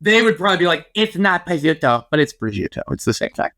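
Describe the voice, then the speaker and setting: A man's voice: fairly high pitch, very animated, fast, a bit bright. A man co-hosting a podcast in casual chat, riffing playfully. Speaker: podcast co-host.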